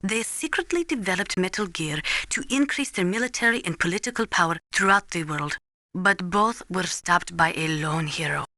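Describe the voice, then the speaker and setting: A woman's voice: fairly low pitch, normal speed, bright, forceful tone, dry recording. A woman playing a serious military weapons expert in a video game, briefing a soldier in a formal, precise, instructive manner. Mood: serious, cautionary. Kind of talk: scripted video game voice acting, explanatory briefing.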